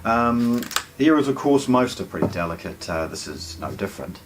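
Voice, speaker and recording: A man giving a casual hands-on product unboxing commentary, explaining things with hesitant pauses between phrases. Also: medium pitch, big pitch swings, background noise. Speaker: product presenter.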